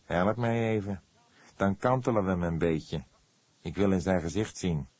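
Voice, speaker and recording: A man plays an old, eccentric coroner in a crime story. His voice is creaky and rough. He speaks calmly and slowly about grim things, as plain matters of fact. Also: low voice, no echo. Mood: businesslike. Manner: calm, morbidly matter-of-fact.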